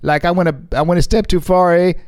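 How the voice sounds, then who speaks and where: A man co-hosting a casual podcast, reading headlines and tossing in quick jokes. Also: high-pitched, steady tone, a little mellow, clean tone, no echo, clean studio sound. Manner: jokey, quick asides and laughs. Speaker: podcast co-host.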